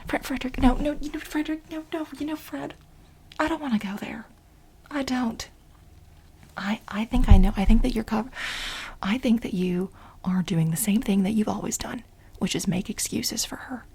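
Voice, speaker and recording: A woman playing an obsessive, scheming coworker pining for her married boss, whispering dramatically and insistently in a soft-spoken ASMR role play. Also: on the high side, full character voice. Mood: exasperated. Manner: breathy whispering, dramatic, insistent, with halting repetitions.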